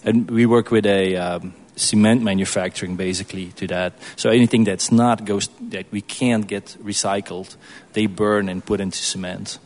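A man from Belgium, speaking as a guest brewer in an informal question-and-answer session, in a casual, halting way. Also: fairly low pitch, steady tone, darkish tone.

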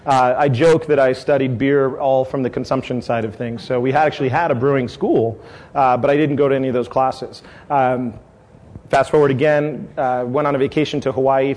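A man's voice: medium pitch, a little mellow. A middle-aged man from San Diego, a brewery owner, talking casually to a tasting crowd, rambling with many fillers and restarts. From San Diego, California. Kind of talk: casual talk at a tasting event.

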